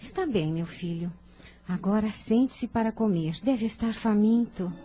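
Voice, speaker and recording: A woman's voice: mid-range voice, measured pace, mellow, soft background music, close to an everyday voice. A woman playing a worried, caring mother of a teenage boy in a radio drama, speaking in an anxious, motherly way. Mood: concerned, tender.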